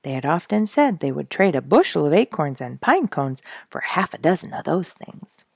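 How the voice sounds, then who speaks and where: A woman reading a children's animal story aloud in an expressive, dramatic storyteller's manner. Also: low voice, moderate pace, creaky, husky, dry recording, clean studio sound. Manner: dramatic, rhetorical questioning delivery.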